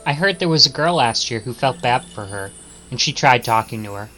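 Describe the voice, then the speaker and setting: A male streamer narrates a visual novel dramatically, reading the text aloud. His voice is bright and mid-pitched, with faint music underneath. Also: expressive, a little fast.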